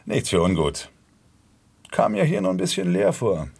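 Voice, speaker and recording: An actor playing a polite, controlled and quietly sinister police officer in a radio drama. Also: male voice, a little high, wildly swooping pitch, normal speed, creaky, husky, full character voice. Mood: polite, probing. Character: smooth-talking, sinister male police officer from out of state.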